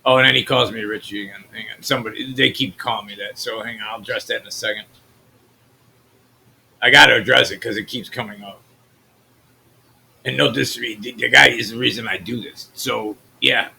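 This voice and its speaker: A man from the US Midwest with a naturally deep voice, hosting a channel and talking loosely through his screen, trailing off into mutters. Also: fairly even intonation, fairly quick, vocal fry, pushed and bright, husky, poor audio. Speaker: channel host. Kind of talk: rambling screen-share commentary.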